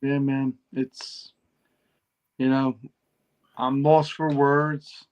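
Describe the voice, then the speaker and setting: A man, co-hosting a casual sports chat, talking in a heartfelt, soft-spoken way. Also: medium pitch, level tone, very slow, clean tone, rough recording. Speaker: podcast co-host.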